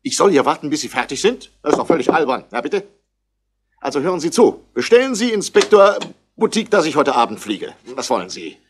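Haughty, animated, irritable gentleman. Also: a little high, a little fast, slightly rough. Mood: irritated, indignant. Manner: curt, formal, haughty. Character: haughty, irritable wealthy man, cool and indignant. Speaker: actor in a radio play. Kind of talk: 1960s German radio play acting.

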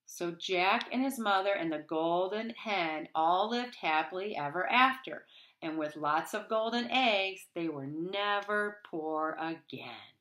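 A woman's voice: lowish voice, animated, takes it slowly, fairly bright tone, a little hiss or hum. A middle-aged woman reading a picture book aloud to young children, expressively and with dramatic emphasis. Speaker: storybook reader for children. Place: small wood-panelled room at home, indoors.

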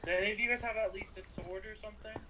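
An excitable, teasing player bantering casually with friends during a video game. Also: medium pitch, racing. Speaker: player in a group gaming video.

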